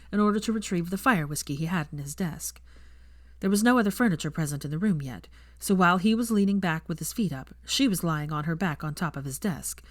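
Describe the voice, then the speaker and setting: A woman narrates a story from a script. Her voice sits a little low and the recording is dry and close, with very little room sound. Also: no room sound. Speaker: narrator of fan fiction readings.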